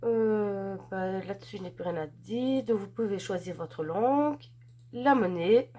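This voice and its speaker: A woman's voice: medium pitch, a little slow, dark tone. Chatty woman with a head cold. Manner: chatty, gushing, filler-heavy. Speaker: crafts channel host. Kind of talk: casual unboxing chat.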